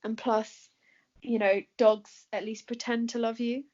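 A woman's voice: a little high, little pitch movement, unhurried, a little mellow. A woman with an English accent answering questions in a casual chat, talking with plenty of fillers and laughing easily. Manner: chatty, laughs often, many fillers. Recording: dull lo-fi recording.

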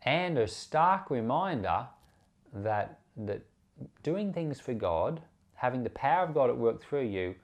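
A man gives an informal sermon as a podcast preacher. His mid-pitched voice swings freely up and down as he talks earnestly and conversationally, circling back to rephrase his points for emphasis. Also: sing-song, unhurried. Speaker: preacher and Bible podcast host. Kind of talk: informal sermon commentary on scripture.

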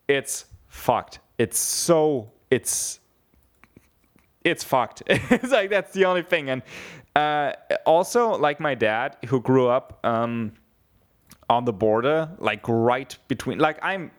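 A man's voice: mid-range voice, animated, slow. Young man, casual rambling talker, swears freely, lots of restarts. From Germany (southeast Bavaria). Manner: rambling, swears a lot, many fillers and restarts. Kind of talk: casual chat.

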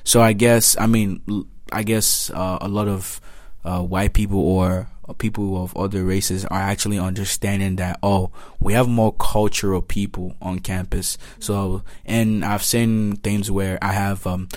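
Low, flat-voiced Nigerian young man. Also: unhurried, hardly any pauses. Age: young adult. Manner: earnest, repeats himself, acts out quotes. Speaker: student guest. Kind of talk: casual conversation.

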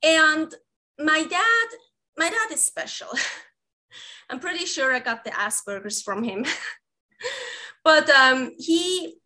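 Swiss woman, 30s, high bright voice, rambling interview guest who keeps restarting sentences. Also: lively intonation, takes it slowly, pauses often. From Switzerland. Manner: rambling, self-correcting, laughs often. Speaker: guest, recipe developer. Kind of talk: casual interview conversation.

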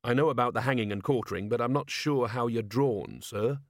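A man's voice: mid-range voice, fairly quick, dry recording, clean studio sound, close to an everyday voice. An earnest, cheerful captain of the watch as a man reads him speaks respectfully. He is literal and matter-of-fact, a dutiful figure in a comic fantasy audiobook. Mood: cheerful, informative. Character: earnest, cheerful, literal-minded young male police captain.

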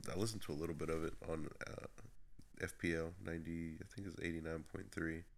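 A man's voice: rumbling low, level tone, fast talker. A man with a Cuban Spanish accent co-hosting a casual podcast chat, hesitant, with many fillers and restarts. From Cuba. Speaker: podcast co-host.

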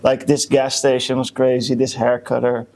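A young man co-hosting a casual chat podcast, halting and full of fillers and restarts. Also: medium pitch, monotone, darkish tone. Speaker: podcast co-host.

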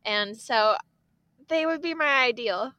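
Chatty young woman, bright and a bit high, super eager, lots of little gasps and laughs. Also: animated, takes it slowly, clean tone, no room sound, muffled recording. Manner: enthusiastic, gasps and laughs often. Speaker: podcast co-host. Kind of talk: casual chat.

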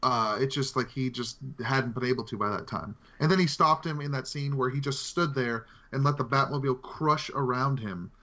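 A dull, lo-fi recording of a man co-hosting a podcast. His pitch is average for a man, and he chats casually about films. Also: normal speed, few pauses, sharp tone. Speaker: podcast co-host. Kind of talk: casual movie discussion. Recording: dull, muffled lo-fi voice track.

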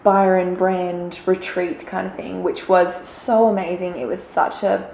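A young woman with a croaky, gravelly voice talks chattily, filling her sentences with little fillers and giggles. The room around her is echoey, with a faint hiss of background noise. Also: fairly low pitch, steady tone, measured pace, darkish tone. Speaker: podcast co-host. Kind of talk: casual chat. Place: quiet room, shared mic.

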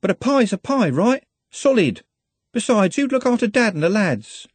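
A woman's voice: medium pitch, big pitch swings, moderate pace, husky, no echo, voice pushed up. A man voicing a chatty, eager young working-class woman who rambles in long run-on sentences.

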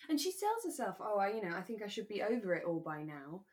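A woman co-hosting a podcast, talking in a relaxed, earnest and reassuring way. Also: mid-range voice, fast. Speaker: podcast co-host. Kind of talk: relaxed discussion of a radio drama.

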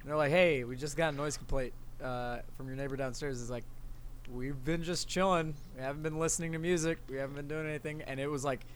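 A man's voice: fairly high pitch, fairly even intonation, fast, slight background noise. A young American man chatting and telling stories casually and bluntly as a podcast co-host. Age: young adult. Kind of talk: casual chat and storytelling among friends.

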